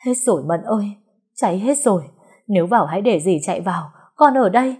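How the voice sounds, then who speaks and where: Woman acting older aunt, husky, anxious, caring, gently pleading, audio story. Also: fairly low pitch, normal speed. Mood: worried, caring.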